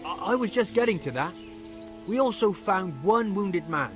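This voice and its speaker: An actor playing a sarcastic, evasive charcoal burner in a video game, defensive and easily rattled. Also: male voice, high-pitched, lively intonation, normal speed, over music. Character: cocky defensive male charcoal burner, adult.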